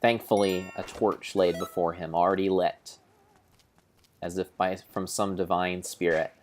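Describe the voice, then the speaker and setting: A man giving a grave, theatrical, storybook-style narration of video game play, in deliberate phrases with pauses. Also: deep voice, fairly even intonation, faint music behind. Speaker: streamer narrating his gameplay.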